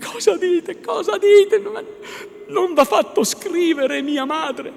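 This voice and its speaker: A man voicing a high, trembling young peasant woman. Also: gravelly, full character voice. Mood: distressed. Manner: tremulous, pleading, halting. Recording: long hall reverb, faint noise.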